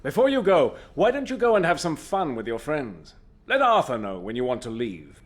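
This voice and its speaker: A man's voice: on the high side, sing-song, moderate pace. An actor playing a middle-aged, world-weary but caring godfather in a video game, with a British accent. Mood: fond. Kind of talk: video game cutscene acting.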